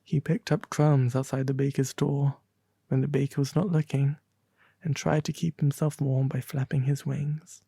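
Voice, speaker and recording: A man playing a melancholy, genteel owl demon prince, reading a storybook aloud in a soft, refined, breathy ASMR voice. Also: mid-range voice, level tone, normal speed, dry recording. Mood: gentle, sombre. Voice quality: breathy, soft, often half-whispered. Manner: refined, gentle, formal diction.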